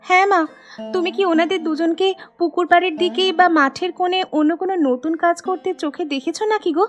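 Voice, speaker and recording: A woman plays a young village wife in an animated folk tale, very high in pitch. She sounds anxious and earnest, full of exclamations, with faint music underneath. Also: fast. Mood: worried. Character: anxious young married village sisters, devoted to their husbands.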